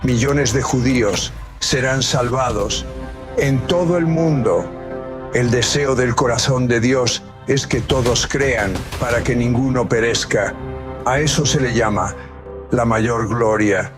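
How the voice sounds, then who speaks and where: A male host speaks very slowly and deliberately over soft music. He introduces the guest in a dramatic, scripted way. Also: medium pitch. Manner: slow, dramatic delivery.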